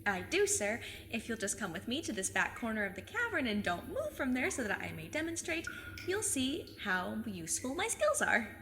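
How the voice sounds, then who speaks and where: A woman's voice: on the high side, wildly swooping pitch, normal speed, sharp tone, heavily acted. A woman playing a plucky, overconfident fifteen-year-old girl sleuth with a cheeky, mock-formal manner in an audio drama. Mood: nervous salesmanship. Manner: eager, cheeky, mock-formal, self-assured.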